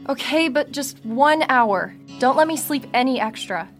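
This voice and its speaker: A woman's voice: high voice, animated, measured pace. A young woman acting a confident, watchful survivor daughter in an audio drama, curt and self-assured. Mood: matter-of-fact. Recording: music behind the voice.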